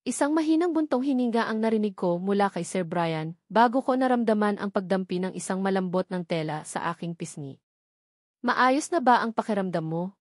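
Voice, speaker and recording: A woman's voice: mid-range voice, animated, brisk, hardly any pauses, a little mellow, no room sound, clean studio sound. A woman with a Filipino accent reading a first-person romance story as a scripted audiobook narration.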